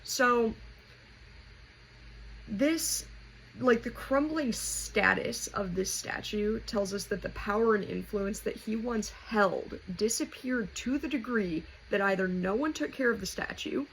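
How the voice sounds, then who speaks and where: A woman's voice: fairly high pitch, unhurried, a bit bright. A woman hosting a casual explanatory lesson, talking informally and conversationally as she thinks aloud. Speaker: host of a poetry explainer. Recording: clearly noisy home recording.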